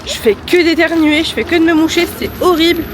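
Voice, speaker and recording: A woman's voice: very high voice, fairly quick, noisy background. A young woman with a head cold chatting casually to her phone camera for a vlog, upbeat and full of slang. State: congested with a cold. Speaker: vlogger. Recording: music playing under the voice.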